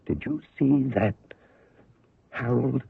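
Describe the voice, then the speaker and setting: Stuffy, gravelly, put-upon office man. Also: medium pitch, slow, no room sound, heavily acted. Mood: indignant, wounded. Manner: formal, indignant. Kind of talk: 1970s radio drama acting. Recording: narrow-band old radio recording.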